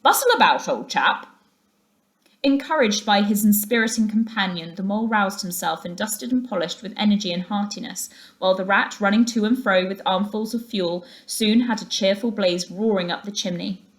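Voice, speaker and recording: A woman's voice: mid-range voice, a little fast, hardly any pauses, echoey room. A woman narrating a children's story aloud as a teacher reading to a class.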